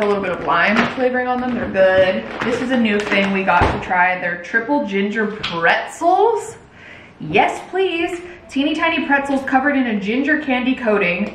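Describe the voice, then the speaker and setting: American woman in her 30s vlogging in her kitchen, chatty n rambly, echoey with noise behind her. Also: fairly high pitch. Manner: chatty, rambling, girly slang. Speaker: lifestyle vlogger. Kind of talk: casual chatty grocery haul vlog. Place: home kitchen, indoors. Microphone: camera mic a few feet away.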